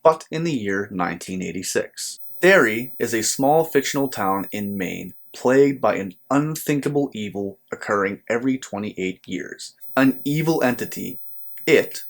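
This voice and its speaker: An American man presenting a scripted book review to viewers as the channel's host, speaking in an earnest, measured way with emphatic phrasing. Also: on the low side, animated, vocal fry. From United States.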